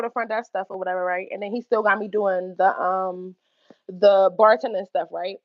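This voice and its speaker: A young woman with a Philadelphia accent telling a story on her livestream, animated and swearing freely between laughs. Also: mid-range voice, dry recording, highs cut off. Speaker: YouTube livestream host. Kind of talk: casual livestream storytelling to chat.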